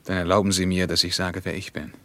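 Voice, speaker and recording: Deep-voiced, sober German ex-soldier. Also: male voice, level tone, fast, a bit bright, dry recording. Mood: reserved. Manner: terse, matter-of-fact. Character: sober, wary German writer, former soldier. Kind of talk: German radio play dialogue.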